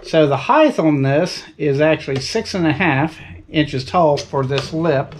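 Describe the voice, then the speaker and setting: A man's voice: on the high side, expressive, a little slow, noisy background. A man hosting his own channel, casually demonstrating car ramps outdoors in a folksy, plain-spoken, step-by-step way. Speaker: channel host reviewing tools.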